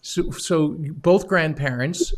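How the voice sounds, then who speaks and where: A man's voice: on the high side, slow pace. A man hosting an online missions interview, upbeat and speaking directly to the viewers. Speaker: host.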